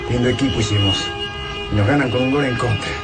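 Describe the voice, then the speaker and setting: A man with an Argentine accent playing an excitable, wry, plain-spoken survivor in a radio drama. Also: medium pitch, quick pace, close to an everyday voice. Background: music.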